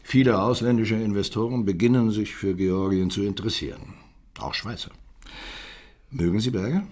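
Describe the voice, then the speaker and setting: An actor playing a cautious, diplomatic male ambassador with a husky voice and formal manner in a German radio drama. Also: lowish voice, normal speed, darkish tone, natural voice. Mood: courteous, guarded. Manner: courteous, formal.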